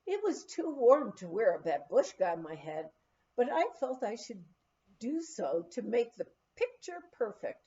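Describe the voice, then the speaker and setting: A woman reading a humorous memoir aloud as an expressive, animated storyteller. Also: on the high side, lo-fi sound. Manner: animated storytelling with acted dialogue. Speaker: audiobook reader.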